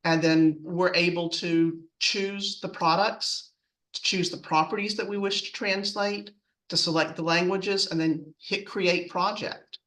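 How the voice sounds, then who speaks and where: A woman's voice: fairly low pitch, steady tone, unhurried, fairly bright tone. Woman giving a business presentation, slightly distant mic. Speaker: corporate customer presenter in a webinar. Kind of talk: semi-scripted business presentation.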